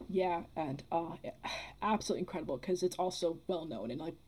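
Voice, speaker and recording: A woman's voice: lowish voice, a little fast, darkish tone, slight background noise. A young woman in a casual discussion, earnest and rambling, repeating phrases as she builds her argument. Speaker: podcast guest, theatre producer.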